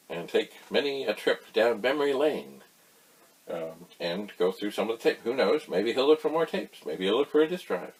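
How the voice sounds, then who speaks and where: A man giving a casual, folksy explainer, rambling through anecdotes with emphatic pauses. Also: on the low side, breathy edge, low-quality recording. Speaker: host of a retro computer tutorial channel.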